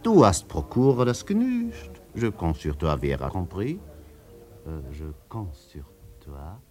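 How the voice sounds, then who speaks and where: A French seducer and swindler in a radio play, with a very low, mellow voice. He flatters and gushes theatrically in a French accent and broken syntax, always scheming beneath the romance. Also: a little slow. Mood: coaxing, scheming. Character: smooth-talking French male swindler, wooing and scheming. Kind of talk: radio drama acting.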